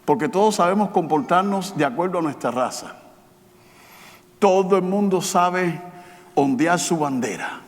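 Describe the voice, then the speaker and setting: A man preaching a sermon as pastor, with emphatic repetition, rising to loud passages and dropping to quiet, slow ones. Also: high voice, animated. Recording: long hall reverb.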